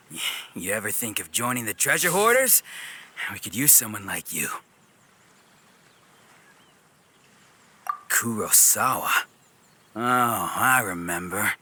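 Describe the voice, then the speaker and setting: An actor playing a boastful, roguish bandit gang boss in a video game, with a grandiose, swaggering delivery. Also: male voice, lowish voice, sharp tone, slight background noise, heavily acted. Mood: wry. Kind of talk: video game cutscene voice acting. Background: game music.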